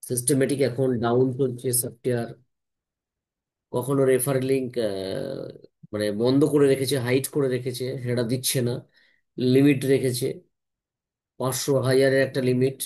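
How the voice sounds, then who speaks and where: A man's voice: mid-range voice, a little mellow. A man hosts an informal online team meeting, repeating himself, often adding tag questions and mixing in English words.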